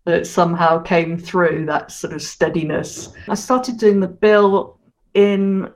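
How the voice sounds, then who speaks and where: A woman's voice: fairly low pitch, steady tone. Chatty older woman reminiscing. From Hong Kong. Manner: chatty, reminiscing, quick to laugh. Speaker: guest. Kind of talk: casual interview.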